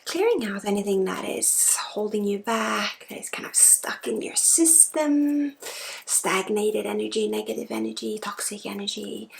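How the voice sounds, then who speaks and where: A woman leading an ASMR energy healing session, soft-spoken and often slipping into a breathy whisper. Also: mid-range voice, steady tone, normal speed, rough recording. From Sweden. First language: Swedish. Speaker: energy healer and spiritual guide.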